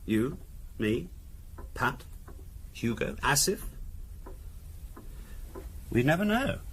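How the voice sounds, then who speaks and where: An actor playing a calm, quietly insinuating middle-class husband in a 1970s radio drama, musing aloud in a deliberate, conversational way. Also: male voice, medium pitch, heavily acted. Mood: musing, speculative. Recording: noisy 1970s radio recording.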